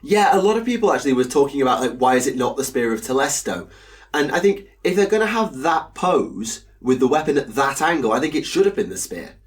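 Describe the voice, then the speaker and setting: A man's voice: medium pitch, very animated, brisk. Young man, hesitant podcast co-host. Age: young adult. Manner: hesitant, lots of ums and uhs. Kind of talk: casual chat.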